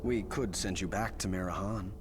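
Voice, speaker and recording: An actor playing a proud, composed prince in a video game, formal and measured in manner. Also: male voice, sits low, a little hiss or hum, natural voice. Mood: dry.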